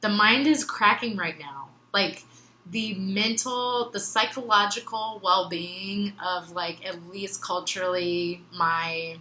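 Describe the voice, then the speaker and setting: A young woman with a bright, forward tone talks through her thoughts in a relaxed, earnest ramble, full of fillers and small laughs. English is her second language. The room around her rings noticeably, with a faint ambient hiss underneath. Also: mid-range voice, slow, roomy sound, low-quality recording. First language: other than English. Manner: meandering, earnest, laughs often, many fillers. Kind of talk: reflective casual monologue.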